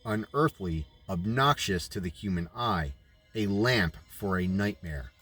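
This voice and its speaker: A man reading a book aloud as a narrator, in a deliberate way with informal asides. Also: low-pitched, animated, fairly bright tone, slightly rough, soft background music.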